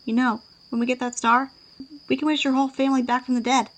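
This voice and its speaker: A woman's voice: high voice, level tone, fast, slight background noise, close to an everyday voice. A woman playing a playful, affectionate female adventurer in an audio drama. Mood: flirty, teasing.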